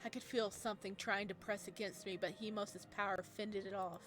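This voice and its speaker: A woman who streams games, reading a visual novel aloud and breaking off for excitable commentary. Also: medium pitch, brisk, soft background music. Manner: excitable, laughs at her own voice acting. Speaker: game streamer.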